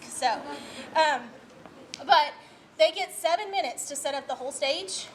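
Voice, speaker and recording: A woman's voice: sits high, slight background noise. A woman speaking informally and unscripted as a school drama director introducing a play, in a chatty, explanatory way. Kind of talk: informal unscripted speech to an audience.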